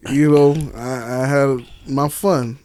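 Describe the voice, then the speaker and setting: A man's voice: medium pitch, fairly even intonation, slow, darkish tone. A man telling personal stories casually as an interview guest, slangy and unfiltered, swearing freely. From Miami, Florida. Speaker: interview guest, author and stage play director.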